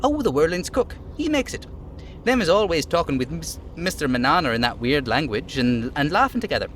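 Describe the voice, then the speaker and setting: High-pitched, eager little dock worker. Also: male voice, big pitch swings, a little fast, fairly bright tone, a little hiss or hum. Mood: eager, friendly. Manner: folksy, naive, chattering.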